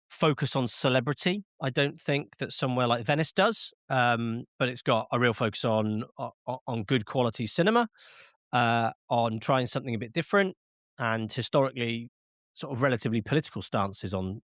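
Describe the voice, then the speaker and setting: A man's voice: mid-range voice, moderate pace, fairly bright tone, dry recording, studio quality. A man hosting a casual film podcast, chatting with frequent fillers and restarts while sounding slightly nasal from a cold. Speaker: podcast host.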